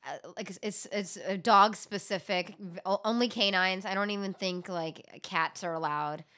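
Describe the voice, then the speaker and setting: A woman's voice: mid-range voice, normal speed, a bit bright. A woman co-hosting a podcast in a casual chat, talking loosely with many ums and fillers; her home language is Gujarati. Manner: chatty, lots of ums and filler. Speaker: podcast co-host.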